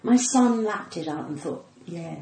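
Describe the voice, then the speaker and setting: A woman's voice: on the low side, animated, unhurried, warm tone. Woman, sixties, posh English accent, playful podcast chat, roomy echo, slight background noise. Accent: English, cut-glass. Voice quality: cut-glass, well-spoken. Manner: flirty, playful. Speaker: podcast guest, stand-up comedian. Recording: echoey room, faint noise, clicks.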